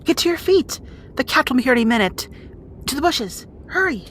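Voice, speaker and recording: Woman playing a brisk, wry young rat princess. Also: high voice, very animated, noisy background. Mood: urgent. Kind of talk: audio roleplay drama, speaking to the listener.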